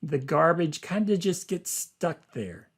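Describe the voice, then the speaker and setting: A man reads aloud as a teacher would for a class. His pace is very slow and deliberate, with simple phrasing and short explanations. His voice sits at a fairly high pitch for a man. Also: sing-song, breathy edge.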